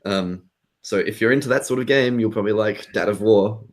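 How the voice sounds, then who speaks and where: A man's voice: on the low side, a little fast. A man on a podcast panel, bantering casually about video games.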